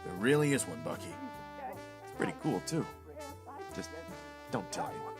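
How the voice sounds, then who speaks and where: A brave, steady young hero in an audio drama. His mid-pitched voice is earnest and expressive, with music clearly behind it. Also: normal speed. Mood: casual, confiding. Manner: earnest, straightforward.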